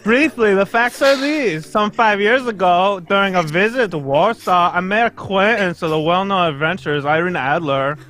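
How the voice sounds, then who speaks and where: A man playing a haughty, formal king with a pompous, halting delivery in a radio play reading. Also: high-pitched, a bit bright, a little hiss or hum. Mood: imperious, formal. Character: King of Bohemia, male, formal, haughty royal visitor. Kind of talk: live radio play reading, old-time radio acting.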